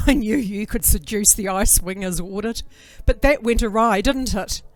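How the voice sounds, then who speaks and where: A woman's voice: mid-range voice, normal speed, dry recording, faint music behind, natural voice. A woman playing a stern, cruel dragon mother and military commander in an audio drama, speaking with cold, imperious contempt.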